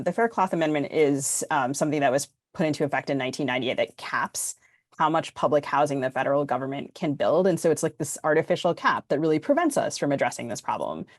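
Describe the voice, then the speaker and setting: A woman's voice: sits low, animated, fast, no room sound, clean studio sound. An American woman co-hosting a podcast, talking informally and discursively as she thinks aloud. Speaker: podcast co-host. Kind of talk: casual panel discussion.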